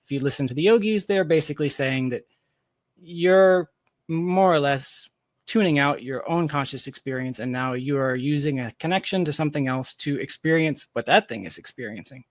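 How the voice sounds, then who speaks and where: A man's voice: on the high side, normal speed, no echo, clean studio sound. A man in a casual, reflective conversation, thinking aloud in an informal, philosophical way. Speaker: podcast guest, tech founder.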